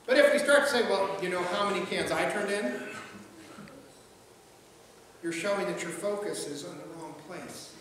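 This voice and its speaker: A man's voice: very high voice, a bit bright, slight background noise. A middle-aged man preaching a sermon from a pulpit in a large church, in a conversational manner that repeats words as he goes. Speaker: pastor. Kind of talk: sermon with jokes and quoted voices. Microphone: pulpit mic, filmed from a far camera. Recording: echoey hall, far-off mic, faint ambience.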